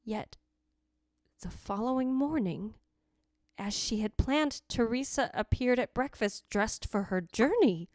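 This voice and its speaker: A woman's voice: on the high side, lively intonation, a little slow, darkish tone, no echo. A remorseful ghost of a dead wife , played by a woman, tells her story in a dry, close sound. Her delivery is formal and lyrical, solemn and tender, in the manner of old-time radio drama.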